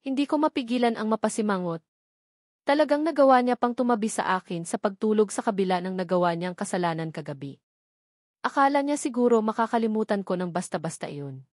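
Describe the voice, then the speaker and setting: A woman narrates a romance novel in the first person from a script. Her pitch is average for a female voice, and the recording is very dry, free of room sound. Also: animated, a little fast, darkish tone, no room sound. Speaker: audiobook narrator.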